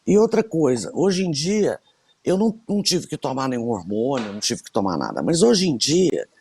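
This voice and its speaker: Lively, gravelly-voiced woman in her sixties. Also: a bass voice, normal speed, slight background noise. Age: 60s. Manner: animated storyteller, emphatic repetitions. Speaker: radio show co-host. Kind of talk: casual radio chat.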